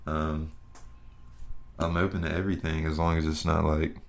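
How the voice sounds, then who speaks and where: A man's voice: a bass voice, flat delivery, normal speed, vocal fry. A young man hosting a podcast, talking in a loose, rambling way and swearing casually. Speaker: podcast host. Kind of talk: casual solo podcast chat.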